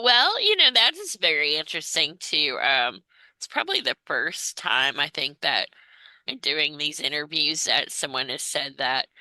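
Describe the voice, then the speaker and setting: Female interviewer, low pitch with a very sharp, bright edge, informal and attentive. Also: no room sound, poor audio. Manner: informal, attentive, acknowledges answers before asking. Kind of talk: conversational interview.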